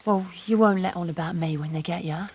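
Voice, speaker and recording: An actress playing an ageing, weary working-class London prostitute in a radio drama, speaking plainly and colloquially in a coaxing way. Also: female voice, lowish voice, fairly quick, a little mellow, a little hiss or hum, lightly acted. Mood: knowing, resigned. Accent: London working-class English. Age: older. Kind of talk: naturalistic radio drama acting.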